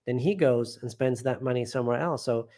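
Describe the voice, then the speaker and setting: A man's voice: medium pitch, brisk, dark tone. Rambling man hosting a live chat. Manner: rambling, often trailing off and restarting. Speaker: host of a live stream. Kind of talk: casual live chat, reading viewer comments aloud.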